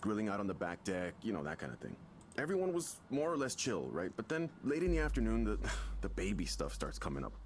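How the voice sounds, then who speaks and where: An actor playing a stressed, defensive young father who vents in a halting, emphatic, conversational way. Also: lowish voice, wildly swooping pitch, a little hiss or hum. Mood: weary venting. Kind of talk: naturalistic game voice acting.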